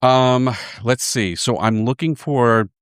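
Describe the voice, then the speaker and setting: A man's voice: medium pitch, slow, clean tone, dry recording. An American man from Boston talking casually and anecdotally as a guest in a radio studio interview. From Boston, USA. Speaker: radio theater founder, guest.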